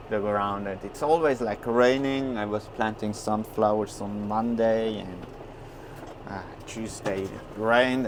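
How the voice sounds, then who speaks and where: A man whose native tongue is Swiss German, talking casually in a rambling, halting way with many fillers as he mows in a tractor cab, on a clip-on mic. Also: on the low side. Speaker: farmer vlogger. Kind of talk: casual talk while mowing in a tractor. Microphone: wireless clip-on mic. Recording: clear engine noise behind voice. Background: tractor engine noise.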